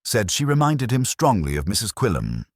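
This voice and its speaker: A man voicing a self-important town councillor, a gossip who confides with solemn excitement. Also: fairly low pitch, normal speed, no room sound, clean studio sound, pitched above the performer's own voice, full character voice. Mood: excitedly confiding.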